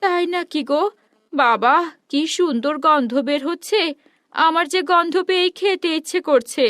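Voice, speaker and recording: Woman acting a high-pitched cartoon wife. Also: normal speed, fairly bright tone, dry recording, studio quality. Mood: delighted. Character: admiring, encouraging wife of the street vendor.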